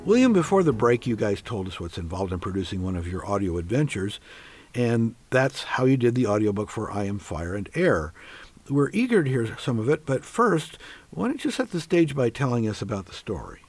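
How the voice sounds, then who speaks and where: A man's voice: medium pitch, very animated, brisk, darkish tone, slightly rough, no echo. A man hosting a radio talk show in a chatty, conversational way with folksy asides.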